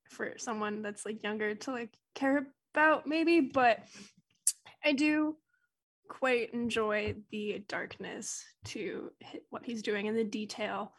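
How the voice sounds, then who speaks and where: A young woman co-hosting a podcast, talking casually with lots of likes and restarts. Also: fairly high pitch. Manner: rambling, many likes and restarts. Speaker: podcast co-host.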